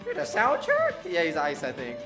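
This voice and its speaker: A man's voice: a little high, moderate pace, over music. A man, chatting casually and slangily as host of a reaction video. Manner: animated, slangy, musing.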